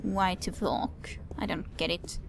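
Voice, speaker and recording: A woman's voice: lowish voice, measured pace, creaky. A woman giving casual game commentary, musing aloud hesitantly and repeating her words. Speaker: let's play commentator.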